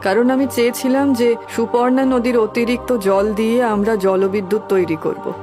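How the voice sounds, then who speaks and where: A woman acts out a devoted lady of a landlord's house in a cartoon drama. She has a fairly high voice and speaks with earnest, melodramatic dignity. Music runs behind her. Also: fairly even intonation, normal speed, lightly acted. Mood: resolute. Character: devoted, dignified landlord's wife, self-sacrificing matriarch. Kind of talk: Bengali cartoon voice acting.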